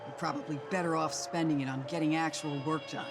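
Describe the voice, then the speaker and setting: A man plays a young security worker in a video game, inquisitive and courteous. His voice sits a little high, with music clearly audible behind it. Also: fairly even intonation, fast talker, close to an everyday voice. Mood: curious. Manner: curious, courteous.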